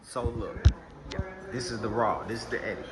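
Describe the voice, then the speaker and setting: A man's voice: lowish voice, fairly even intonation, normal speed, a loud crowd. A man from Texas hosting a casual interview, talking in a laid-back, slangy way. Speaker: host. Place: indoors in Puerto Rico.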